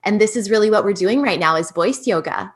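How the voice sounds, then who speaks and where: A woman hosting an interview podcast, her voice high-pitched. She keeps the talk friendly and engaged, often affirming what her guest says. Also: expressive, a little fast. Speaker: podcast host.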